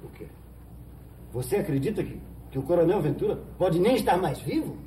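A Brazilian man playing a gruff, sardonic small-town police chief in a 1970s telenovela. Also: high voice, lively intonation, fairly quick, dark tone. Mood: exasperated. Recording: noisy old 1970s TV soundtrack.

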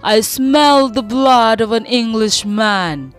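A woman voicing a huge roaring male ogre in a storybook reading, chanting slowly and loudly. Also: a little high, smooth, soft background music.

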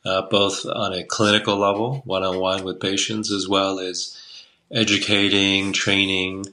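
A man in his sixties from Taiwan speaks over a video call from a room at home. His voice sits low yet sounds bright and forceful. He rambles easily, circling back with fillers and restarts. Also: level tone, measured pace. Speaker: guest, Chinese medicine doctor. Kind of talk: casual interview talk. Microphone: video call webcam.

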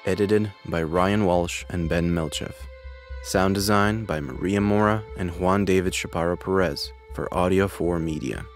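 Very deep-voiced male credits announcer. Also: moderate pace. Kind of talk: scripted credits read. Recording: music underneath.

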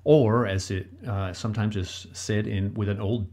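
A man's voice: on the low side, steady tone, moderate pace, a little mellow. A middle-aged man with a Danish accent, whose first language is Danish, co-hosting a relationship podcast and talking earnestly and directly to listeners. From Denmark. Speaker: podcast co-host, relationship coach. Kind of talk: solo advice talk.

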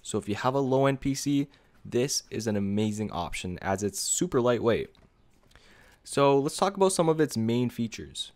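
A man with a mid-pitched voice narrates a scripted software review. He addresses viewers directly in a casual, conversational way, like a video creator walking through a list of options. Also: expressive, normal speed.

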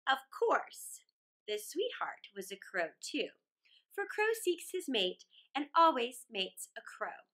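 A middle-aged woman reading a fairy tale aloud to children in a deliberate, theatrical way. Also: high voice, sing-song, sharp tone. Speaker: children's storyteller.

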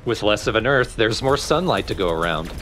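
A man's voice: lowish voice, lively intonation, brisk, noisy background. A man making casual, joking remarks as a commentator over a video game. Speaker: gaming let's play commentator.

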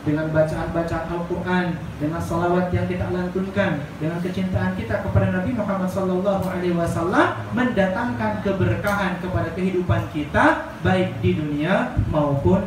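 A man's voice: high-pitched, normal speed. A young Indonesian man giving an earnest religious talk to a seated gathering, repeating phrases for emphasis. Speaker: Islamic boarding school teacher. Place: crowded indoor room, seated gathering. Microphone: handheld microphone through speakers, filmed from across room. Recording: echoey noisy room, far from mic.

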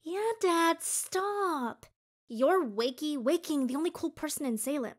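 Woman playing high-pitched sassy teenage girl. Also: lively intonation, a little slow. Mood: sulky, smug. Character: vain, dramatic, sassy teenage older sister. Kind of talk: fan audio drama acting.

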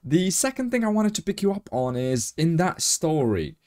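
A man hosting a podcast interview, enthusiastic and quick to laugh, from Morocco. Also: on the high side, sing-song, darkish tone. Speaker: podcast host. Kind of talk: casual interview chat.

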